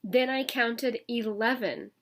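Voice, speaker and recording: Higher-pitched woman reading a children's story. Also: slow. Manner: expressive, emphatic story reading, prompting listeners with questions. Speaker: teacher reading a picture book aloud to pupils. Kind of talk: animated children's read-aloud with casual asides.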